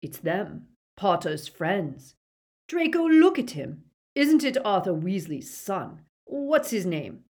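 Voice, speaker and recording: A proud, villainous aristocratic father from an audiobook, voiced by a woman narrator. He speaks with pompous urgency and anxious authority. His pitch moves around a great deal. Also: very animated, slow, a little mellow. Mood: excited shouting. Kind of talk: audiobook character acting.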